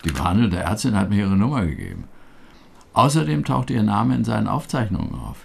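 An actor playing a younger brother, talking earnestly and probing for answers in a radio drama. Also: male voice, lowish voice, big pitch swings, fairly quick, creaky, very noisy, full character voice.

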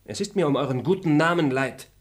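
An actor playing a composed, polite traveling gentleman who questions a suspect in measured tones in a classic radio play. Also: fairly high pitch, close to an everyday voice. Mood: calmly accusing. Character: composed, courteous traveling gentleman, suspicious and probing. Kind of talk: classic radio drama acting.

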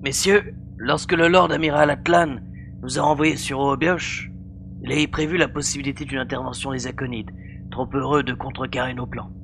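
Casting: a man as an authoritative starship major, medium pitch, formal and dry, sci-fi audio drama, noticeable hum and hiss. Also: fairly even intonation, fairly bright tone. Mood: commanding. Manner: formal, measured, commanding. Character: authoritative male space major, confident, dryly mocking commander. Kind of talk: acted audiobook dialogue. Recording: clearly noisy background with hum.

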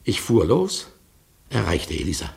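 An actor playing a melancholy war refugee in a radio play, recounting his past in a reflective, dramatic way with a gravelly voice. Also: low-pitched, huge pitch swings, a little slow, darkish tone, full character voice. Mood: agitated. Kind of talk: German radio play narration.

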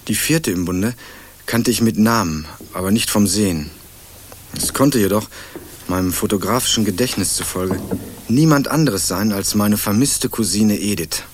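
An actor playing a young student who narrates a crime radio play in the first person, in a precise, matter-of-fact way. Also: male voice, lowish voice, no room sound, lightly acted.

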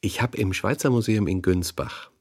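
An actor playing a courteous, well-read radio interviewer with an attentive, conversational manner. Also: male voice, lowish voice, moderate pace, darkish tone, husky. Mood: admiring.

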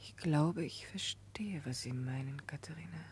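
A woman playing a calm, perceptive prisoner and confidante in a 1960s German radio drama, husky and gently direct. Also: sits low, normal speed, slight background noise, lightly acted. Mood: probing, gentle.

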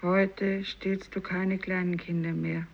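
Eine Schauspielerin spielt in einem literarischen Hörspiel eine düstere, fatalistische Fischersfrau. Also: female voice, on the low side, little pitch movement, slow, creaky, heavily acted. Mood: fatalistic. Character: sombre, fatalistic fisherwoman foretelling misery. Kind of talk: 1950s literary radio play acting. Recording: dull, lo-fi radio recording.

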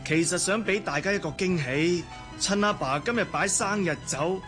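An actor with a Hong Kong Cantonese accent playing a devoted, sweet-talking young fiancé in a radio drama. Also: male voice, high voice, normal speed, over music, natural voice. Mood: proud, playful.

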